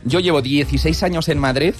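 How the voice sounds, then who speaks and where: A man from Spain's Basque Country presenting a playful, casual lesson, animated and teasing as he puts questions to his listeners. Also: on the high side, quick pace, faint music behind. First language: Spanish and Basque.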